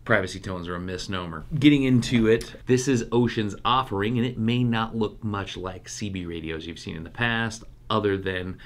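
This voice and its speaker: A man's voice: on the low side, moderate pace, few pauses. A man hosting a review channel, talking casually through a product and trying it out. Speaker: host of a radio review channel. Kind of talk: casual product review and field test to camera.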